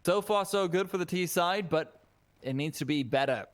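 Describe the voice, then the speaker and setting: Energetic male esports caster, non-native English. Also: high-pitched, moderate pace. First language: not English. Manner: energetic, jokey, laughs often. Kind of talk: live play-by-play commentary.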